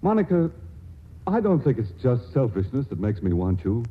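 A shy stammering chemist suitor. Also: male voice, medium pitch, big pitch swings, moderate pace, breathy edge. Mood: earnest. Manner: hesitant, stammering, earnest. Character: earnest shy male chemist in love. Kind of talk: 1940s radio acting. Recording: muffled old radio broadcast with faint hiss.

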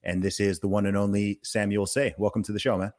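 A man hosting a podcast, greeting listeners loudly and prompting his guest in short, friendly bursts. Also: on the low side, little pitch movement, quick pace. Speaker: podcast host. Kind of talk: podcast interview.

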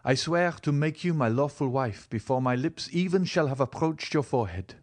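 A man playing a passionate, desperate young gentleman in love in an audiobook, speaking with earnest, formal urgency. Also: mid-range voice, expressive, normal speed, voice pushed up, close to an everyday voice. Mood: ardent. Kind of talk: dramatic audiobook character acting.

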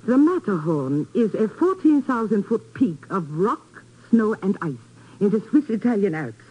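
An actress playing an earnest foreign radio station official, speaking formally and precisely in 1950s radio drama style. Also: female voice, medium pitch, sing-song, moderate pace, breathy edge. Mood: grave, insistent. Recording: muffled old 1950s radio recording.

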